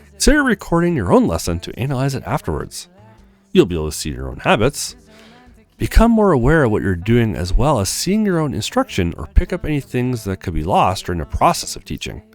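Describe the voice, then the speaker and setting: A man's voice: on the low side, wildly swooping pitch, a little fast. A man voicing a helpful, studious advisor in visual novel dialogue read aloud. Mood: instructive. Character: helpful, studious advisor, easily flustered, giving teaching pointers.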